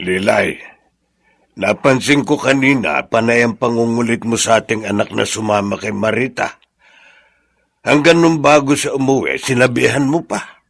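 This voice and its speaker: An actor playing a measured, sympathetic older father and grandfather in a Filipino radio drama. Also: mid-range voice, creaky. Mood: worried.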